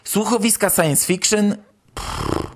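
Man, fairly high voice, podcast host, informal news commentary. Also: wildly swooping pitch, slow, vocal fry, background noise.

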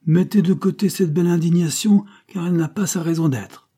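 A man's voice: on the high side, a little fast, husky, voice pushed up. A man doing a stern old marquis, scornful. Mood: stern accusing. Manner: forceful, indignant reproach. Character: stern older male aristocrat, accusing and scornful.

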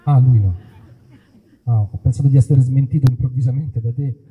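A man's voice: mid-range voice, normal speed, warm tone. A man hosting a live outdoor show in an olive grove, talking to the crowd with wry, mock-solemn stage patter. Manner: wry, mock-solemn, playfully self-interrupting. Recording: husky voice over a tinny, phone-like line.

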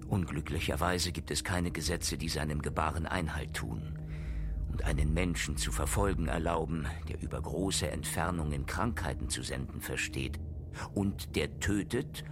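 An actor playing a learned man who gravely expounds on dark occult matters in a radio play, speaking in a deliberate, erudite way. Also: extremely low pitch, background noise. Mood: grave, disapproving. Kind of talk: German radio play dialogue. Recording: music behind the voice.

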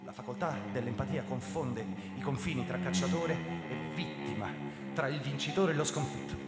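Brooding detective over loud music, echoey. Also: male voice, medium pitch, sing-song, normal speed, creaky. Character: brooding male police android hunter narrating his story. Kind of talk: dramatic first-person radio drama narration. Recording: echoey hall reverb, loud music underneath. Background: loud live music.

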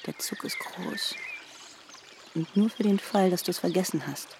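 Sly provocative founder, croaky mid voice, teasing, radio drama, noisy outdoor background. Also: female voice, fairly low pitch, unhurried. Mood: intense, ominous. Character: Lou, provocative, knowing female founder returned from exile. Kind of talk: naturalistic radio drama acting.

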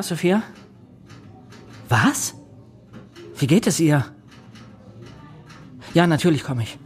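An actor playing an earnest young amateur investigator who narrates and speaks in a German radio crime play. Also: male voice, mid-range voice, close to an everyday voice. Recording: studio radio play with music underneath.

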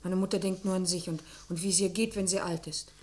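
A woman's voice: fairly low pitch, level tone, fast talker, a little mellow, natural voice. An actress playing a bitter, defiant young woman under questioning, terse and sullen, in a German radio crime drama.

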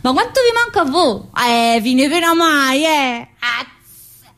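A woman's voice: high-pitched, big pitch swings, slow, fairly bright tone, heavily acted. An actress playing a chatty, wistful mother in prison, in a colloquial theatrical monologue with a Southern Italian, Neapolitan-tinged accent. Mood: teasing. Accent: Southern Italian, Neapolitan-influenced. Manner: colloquial, addressing listeners with frequent tag questions.

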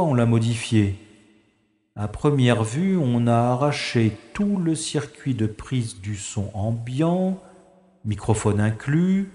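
An actor playing a self-assured secret agent in an audio drama, speaking with dry, formal irony. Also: male voice, medium pitch, mellow. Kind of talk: French audio drama acting. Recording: echoey, music behind.

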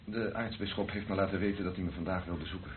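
An actor in a radio play playing an earnest, consoling priest in love, speaking in a measured, reassuring way. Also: male voice, low voice, fairly even intonation, close to an everyday voice. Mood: earnest, tender. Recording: narrow, noisy old radio recording.

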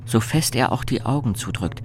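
A man's voice: medium pitch, animated, moderate pace, soft background music, natural voice. A man narrating a suspense radio play as a composed storyteller. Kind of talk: scripted radio drama narration.

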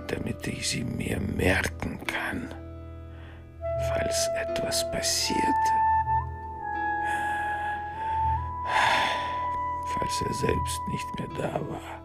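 An actor playing a former camp inmate who recalls a poet friend in halting, hushed, breathy phrases in a radio drama. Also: very high-pitched, huge pitch swings, loud music behind the voice, heavily acted. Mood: solemn remembrance.

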